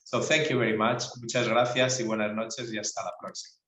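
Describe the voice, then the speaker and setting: Male director, flat intonation, formal closing remarks in a roomy space. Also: lowish voice, fast talker. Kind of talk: formal thanks and announcements. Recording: echoey room sound.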